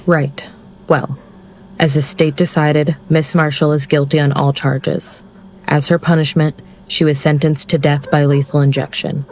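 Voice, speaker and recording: An actor playing a curt, by-the-book executioner in an audio drama, formal and deadpan. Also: mid-range voice, measured pace, natural voice.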